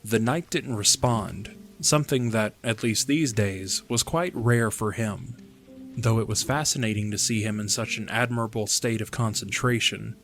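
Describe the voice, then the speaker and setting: A man with a fairly low voice narrates a fantasy tale over background music. His delivery is scripted storybook reading, as the narrator of an audio drama. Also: normal speed, fairly bright tone.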